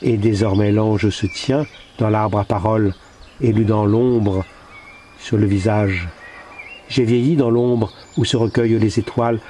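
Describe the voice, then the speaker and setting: A man with a fairly low, very mellow and dark voice recites poetry. His delivery is solemn and deliberate, with pauses between phrases. Faint background noise of birds and insects sits behind the voice. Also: steady tone. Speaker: poet reading own work.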